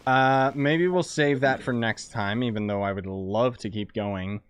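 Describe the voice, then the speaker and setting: A man's voice: medium pitch, a little slow, clean tone, no room sound. Chatty young man streaming a game. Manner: chatty, relaxed, thinks aloud. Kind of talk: casual live-stream commentary.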